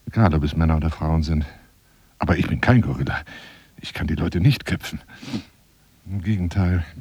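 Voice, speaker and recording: An actor playing a lonely, self-dramatizing hunter in a radio drama monologue, wooing a woman in a courtly, theatrical, husky voice that drifts into whispers. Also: low voice, warm tone, no room sound, full character voice. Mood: courtly, confessional. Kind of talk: radio drama monologue as one side of a phone call.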